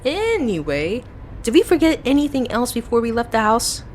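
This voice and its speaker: A woman acting as a young, playful best friend in an audio roleplay, quietly smitten and easily excited. Her voice sits on the high side and swings freely up and down as she chats. There is noticeable ambient noise behind her. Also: normal speed. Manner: playful, chatty, expressive.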